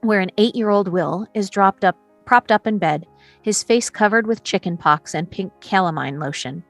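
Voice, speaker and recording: A woman's voice: medium pitch, normal speed, no room sound, soft background music. A woman reads screenplay stage directions as scripted narration, setting scenes and describing action.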